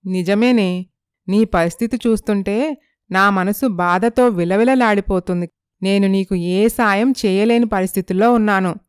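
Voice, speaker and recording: A woman playing a sympathetic, gently persuasive young female friend in an animated moral story. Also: medium pitch, moderate pace, clean tone, no echo, clean studio sound, close to an everyday voice.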